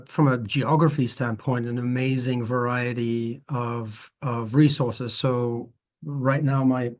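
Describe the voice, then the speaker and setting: A man in his fifties from the Netherlands, a guest photographer talking at length in a relaxed interview, thoughtful and rambling with frequent restarts. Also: medium pitch. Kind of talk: conversational interview.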